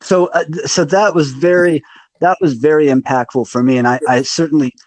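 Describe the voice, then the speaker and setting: A man's voice: fairly high pitch, fairly even intonation, fairly quick, a little mellow, no echo. A man hosting a podcast interview who chimes in often with enthusiastic agreement and retells his own memories. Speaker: podcast host, musician.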